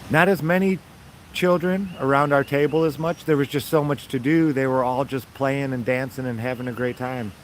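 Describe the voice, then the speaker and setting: Raspy man, mid-pitched, easygoing and enthusiastic, talking to camera outside. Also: steady tone, normal speed, a little hiss or hum, poor audio. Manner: rambling, enthusiastic, upbeat. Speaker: vlogger. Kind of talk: casual chat to viewers.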